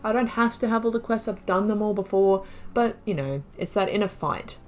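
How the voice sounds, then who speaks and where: A woman's voice: mid-range voice, expressive, brisk. A woman casually commenting on a game playthrough, thinking aloud, trailing off and correcting herself as she reads through in-game notes. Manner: musing, thinking aloud with fillers and self-corrections. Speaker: gaming video creator.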